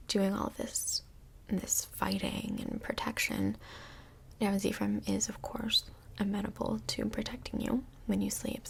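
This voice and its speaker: A woman playing a calm, chatty couples counsellor in a soft-spoken ASMR role play, with a breathy, whispery voice and gentle, measured, reflective delivery. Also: mid-range voice, heavily acted. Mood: calm, reassuring. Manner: gentle, measured therapist talk with reflective pauses.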